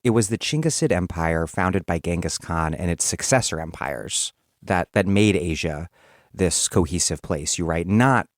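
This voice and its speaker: A male podcast host with a very deep voice asks long, careful questions. The sound is dry and close, with no room echo. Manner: measured, reads quotes. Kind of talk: interview questions, partly read aloud.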